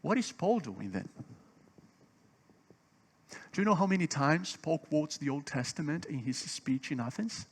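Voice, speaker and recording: A man's voice: a little high, expressive, measured pace, pauses often, slight background noise. Man preaching emphatically. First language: Portuguese. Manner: emphatic, swelling louder on key points; asks the audience questions. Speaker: seminar speaker. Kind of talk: conference seminar teaching.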